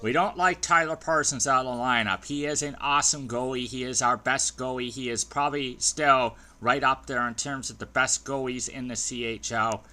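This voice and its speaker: A man recapping hockey games in a casual, rambling vlog, with a dry throat that he keeps clearing. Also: mid-range voice, fairly even intonation, moderate pace, few pauses, bright, forceful tone, slight background noise. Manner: chatty, rambling with many ums and restarts. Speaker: fan video host.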